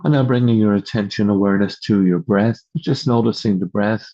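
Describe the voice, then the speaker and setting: A man leading a guided meditation as host, giving slow, calm instructions in measured short phrases with long pauses, recorded over a video call. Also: lowish voice. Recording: dull lo-fi video call audio.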